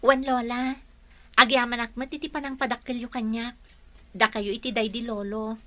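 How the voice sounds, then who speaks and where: A woman's voice: a little high, level tone, moderate pace, a bit bright, natural voice. A woman playing an earnest, grateful young student teacher with a Filipino accent in an audio drama. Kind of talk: Ilocano audio drama acting.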